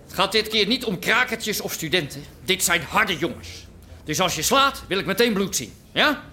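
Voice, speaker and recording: An actor playing a menacing, sadistic gang boss in an audio drama, taunting and commanding. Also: male voice, high voice, big pitch swings, normal speed, bright, forceful tone, slight background noise, exaggerated voice.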